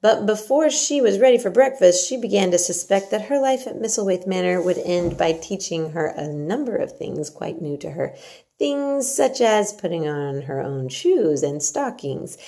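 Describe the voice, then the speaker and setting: A woman's voice: medium pitch, sing-song, moderate pace, creaky, mellow. A woman reading a children's storybook aloud as narrator. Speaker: story reader.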